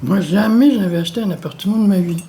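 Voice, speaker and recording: A woman in her seventies from France, slowly and reflectively telling her life story in an interview. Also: mid-range voice, warm tone, a little hiss or hum. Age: 70s. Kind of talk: personal testimony.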